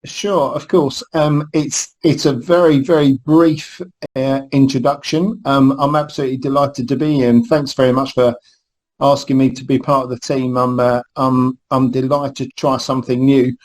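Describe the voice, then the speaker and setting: A man in his fifties, born in Scotland, introduces himself in an interview. He talks haltingly, full of ums and restarts. His voice keeps a flat, even pitch throughout. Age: 50s. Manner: hesitant, with fillers and restarts. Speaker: new team member, guest. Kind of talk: interview answers.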